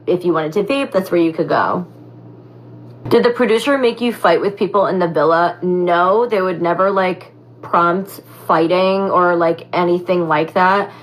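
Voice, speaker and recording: A raspy young woman answering fan questions in a casual, animated chat, full of "like" and "um". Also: lowish voice, poor audio. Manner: animated, chatty, heavy on fillers like "like" and "um". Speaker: former reality show contestant.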